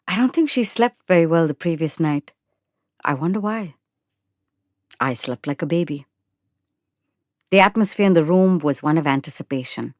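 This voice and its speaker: Female narrator, lower register, measured dramatic storytelling, dry studio sound. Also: a little mellow, no echo. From India. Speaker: narrator and actor. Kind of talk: dramatic first-person short story narration.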